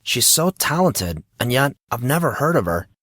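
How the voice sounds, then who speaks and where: A man's voice: mid-range voice, very animated, normal speed, fairly bright tone, no echo, studio quality. A man voicing a sheepish teenage schoolboy who talks in a slangy, defensive way.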